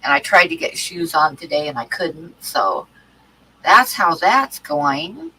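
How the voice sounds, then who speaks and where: Bright-voiced woman with a stuffy cold. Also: on the low side, big pitch swings, takes it slowly, a little hiss or hum, poor audio. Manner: rambling, many ums and restarts. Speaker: art livestream host. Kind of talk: casual chat to live chat.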